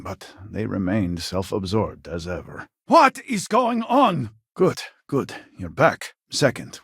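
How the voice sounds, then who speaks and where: A man's voice: low voice, breathy edge, no echo, clean studio sound, heavily acted. An actor playing an elderly, proud and impatient male scientist in a video game, speaking in a formal, theatrical way. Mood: measured, urgent, then panicked. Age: older.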